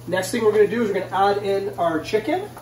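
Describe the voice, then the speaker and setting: Guy in his 40s doing a casual cooking vid, pretty high voice, mic far off in an echoey kitchen. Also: animated, quick pace, a little mellow. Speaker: cooking show host. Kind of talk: casual instructional cooking demonstration to camera. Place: home kitchen, indoors. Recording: roomy, distant mic, faint kitchen noise.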